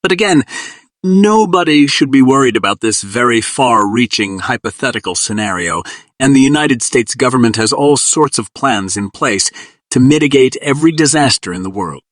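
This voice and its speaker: A man playing a calm, cautious volcano scientist in a measured, formal and reassuring expert interview. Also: medium pitch, no echo, clean studio sound, lightly acted.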